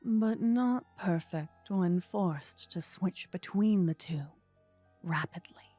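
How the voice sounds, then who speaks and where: A woman narrating a scripted second-person fantasy story in a soft, whispery ASMR style with measured delivery. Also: lowish voice, faint music behind. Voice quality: breathy, whispery. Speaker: narrator and voice actor.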